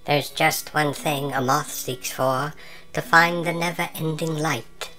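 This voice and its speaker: A man voicing a calm, learned male moth who speaks with precise, knowing composure. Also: fairly high pitch, takes it slowly, faint music behind, pitched above the performer's own voice. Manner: calm, knowing, slightly superior.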